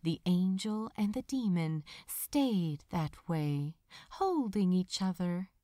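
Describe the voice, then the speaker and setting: Slow, expressive woman narrating a story. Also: medium pitch, no echo, clean studio sound. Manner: dramatic, measured, lingering on phrases. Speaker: audiobook narrator of fan fiction.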